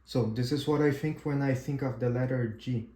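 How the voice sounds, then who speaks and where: A man whose first language is Portuguese gives a casual tutorial demo, pausing often with "uh" and restarting his sentences. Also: medium pitch, fairly even intonation, echoey room, background noise. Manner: halting, frequent "uh" and restarts, checks in with "right?". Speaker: amateur radio instructor.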